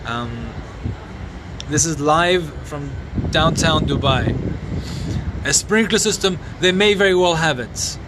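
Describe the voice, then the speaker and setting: A man livestreaming from his phone outdoors at night, answering viewers' questions in a halting, sombre way with many restarts. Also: fairly high pitch. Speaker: livestreamer reporting a fire. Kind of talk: live phone stream, answering viewer comments. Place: outdoors in a city compound at night. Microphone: phone mic. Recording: noisy outdoor phone stream.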